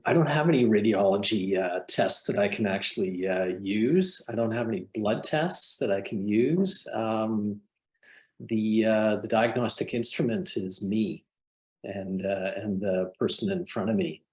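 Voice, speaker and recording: Low-voiced thoughtful man, hesitant. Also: measured pace. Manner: thoughtful, hesitant with many fillers. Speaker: psychiatrist. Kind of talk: webinar panel talk.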